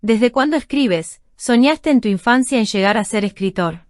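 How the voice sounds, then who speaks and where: A woman hosting a radio show, reading a guest introduction and asking interview questions in a measured way. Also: a little high, expressive, dry recording, clean studio sound.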